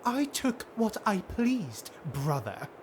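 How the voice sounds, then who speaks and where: A man voicing a haughty, scheming female dark elf noble in a fantasy audiobook, imperious and taunting. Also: sits high, wildly swooping pitch, slow, a little hiss or hum, voice pushed up, exaggerated voice.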